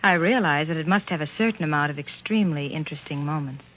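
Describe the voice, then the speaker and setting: A jealous society wife in a 1940s radio mystery. She is coolly formal and pointed, in a fairly low voice, heard through muffled, narrow old broadcast audio. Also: a little fast. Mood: veiled jealousy.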